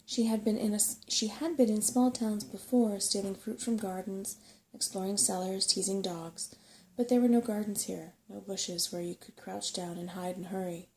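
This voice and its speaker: Soft-spoken woman with a creaky edge. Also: mid-range voice, fairly even intonation, normal speed, poor audio. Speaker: storybook narrator and ASMR host. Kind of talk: soft-spoken ASMR bedtime story reading.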